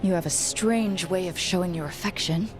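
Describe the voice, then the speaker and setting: A woman's voice: moderate pace, music in the background, lightly acted. A woman playing a resolute, wry adventuring heroine in a video game, with a dry and guarded delivery. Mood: dry challenge. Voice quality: low, gravelly. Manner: dry, guarded, matter-of-fact. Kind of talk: natural video game dialogue acting.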